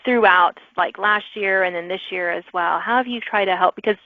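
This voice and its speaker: A woman's voice: medium pitch, moderate pace, dry recording, narrow, tinny audio. A woman hosting an author interview podcast in an informal, chatty, conversational way.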